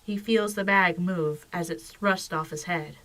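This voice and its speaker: A woman's voice: lowish voice, unhurried, fairly bright tone, slight background noise. A woman narrator reading scripted storytelling for an audio drama.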